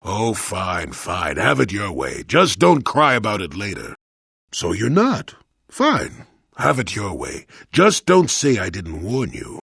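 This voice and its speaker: An actor playing a cantankerous, wry old male Jedi hermit in a video game, with a gravelly, husky voice and a grumbling, sardonic manner. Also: on the low side, takes it slowly, no echo, clean studio sound, exaggerated voice. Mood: cranky, grudging. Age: older. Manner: grumbling, sardonic, theatrically expressive. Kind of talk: video game character dialogue.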